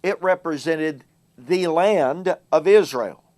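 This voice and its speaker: A man hosting a Bible teaching show. He speaks in a measured way, slowing down and growing louder to stress key words. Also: fairly high pitch. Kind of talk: solo Bible teaching talk to camera.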